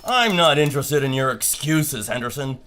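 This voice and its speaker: A man's voice: mid-range voice, animated, normal speed, sharp tone. A man playing a stern, impatient office boss in a radio play. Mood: curt, displeased. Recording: noisy background with typewriter clatter.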